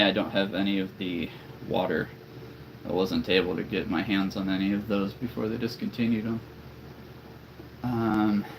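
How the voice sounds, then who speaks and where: Slow-talking man with a very deep voice. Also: a bit bright, slight background noise, rough recording. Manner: chatty and rambling, laughs often. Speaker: toy collector and channel host. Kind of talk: casual live-stream chat.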